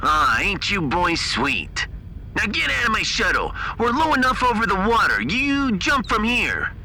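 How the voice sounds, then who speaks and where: A man's voice: high-pitched, huge pitch swings, measured pace, bright, forceful tone, a little hiss or hum, heavily acted, rough recording. A man voicing a brassy, teasing shuttle pilot with a sassy, drawling manner in a science fiction audio drama. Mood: teasing, bossy.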